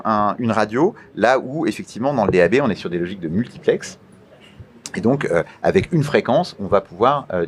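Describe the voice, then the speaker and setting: A man with a fairly low voice answers interview questions in a formal register. He speaks deliberately, often halting mid-sentence, then stresses his key phrases by repeating them. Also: slight background noise. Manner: deliberate, halting with many hesitations, emphatic repetition of key phrases. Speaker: interview guest, media regulator official.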